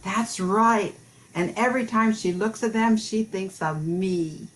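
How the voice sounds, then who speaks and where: A woman's voice: medium pitch, measured pace, close to an everyday voice, rough recording. An older woman playing an enthusiastic young mother giving a testimonial in an amateur radio play. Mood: pleased.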